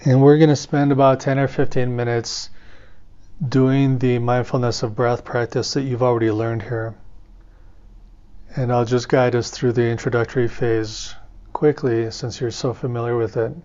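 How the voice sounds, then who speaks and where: A man leads a guided meditation as a teacher, speaking gently and without hurry. His mid-pitched voice stays level, with very little rise and fall. The recording is slightly dull and lo-fi. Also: clean tone. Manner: calm, gentle, unhurried. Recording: dull, muffled lo-fi audio.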